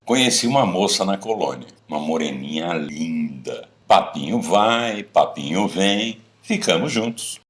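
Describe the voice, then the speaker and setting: A man acts out a middle-aged Brazilian storyteller among old drinking friends. His voice sits on the low side and swings widely in pitch. He talks colloquially, as in a radio drama about confessions. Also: animated, measured pace, few pauses. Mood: reminiscing. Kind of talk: radio drama acting, casual bar chat.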